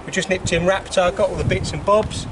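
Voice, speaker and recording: A man's voice: high voice, fairly even intonation, fairly quick, background noise. A man talking casually to camera about loading up and travelling. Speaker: carp angler and presenter. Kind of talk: casual vlog.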